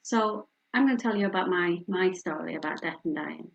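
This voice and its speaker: A woman's voice: lowish voice, a little fast, vocal fry, muffled recording. A middle-aged woman from the north of England, her Yorkshire accent plain, talks to a community group in a candid, personal way. She leans on fillers and restarts as she tells her story. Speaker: speaker from a hospice charity. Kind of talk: community talk with slides.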